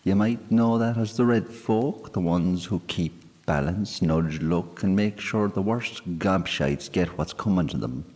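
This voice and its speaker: A man voicing a tiny, bearded, easily offended male fairy, huffy and sharp-tongued. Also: sits low, unhurried, hardly any pauses, husky, voice pushed up. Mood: indignant. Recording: long hall reverb.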